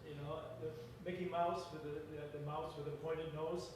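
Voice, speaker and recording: A man making casual interjections and explanations, speaking a little haltingly. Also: a little high, flat delivery. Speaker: member of the group interjecting. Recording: very echoey room, far from mic, noisy.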